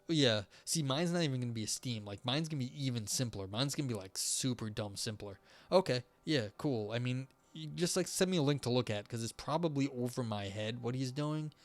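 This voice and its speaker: A young man chatting casually on a live stream, rambling with many fillers and restarts, his voice starting to go hoarse. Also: mid-range voice, moderate pace, no room sound. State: voice going hoarse.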